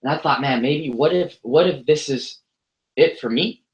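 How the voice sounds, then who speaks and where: A man's voice: on the high side, normal speed, lo-fi sound. A man, giving a motivational talk full of self-deprecating jokes. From United States. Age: 20s. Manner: joking, self-deprecating storytelling. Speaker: motivational speaker. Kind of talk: motivational speech to an audience. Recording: echoey room sound.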